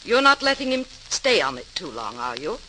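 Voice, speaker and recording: An anxious, pious mother in an old radio play, fretful and strict. The voice is very bright and animated, on a faintly hissy vintage recording. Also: fairly low pitch, moderate pace, low-quality recording. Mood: worried. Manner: fretful, scolding. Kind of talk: 1940s radio acting.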